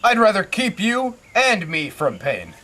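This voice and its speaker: A man's voice: high voice, huge pitch swings, measured pace, sharp tone, heavily acted. A man playing a stubborn, reluctant old prophet in an amateur Greek tragedy, speaking in cryptic, foreboding lines.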